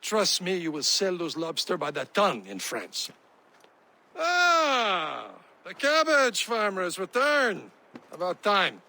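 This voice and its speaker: A man's voice: high-pitched, wildly swooping pitch, takes it slowly, bright, forceful tone, full character voice. An actor playing a haughty, impatient nobleman assassin in a video game, with a scornful, theatrical manner. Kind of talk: video game cutscene acting.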